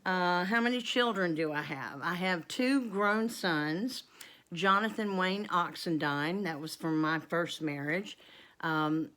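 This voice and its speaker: A woman's voice: lowish voice, takes it slowly, a bit bright. A woman, chatting to camera in a rambling, casual Q and A for her homestead vlog. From North Carolina, USA. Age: 50s. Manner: chatty and rambling, many ums and asides. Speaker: homestead vlogger.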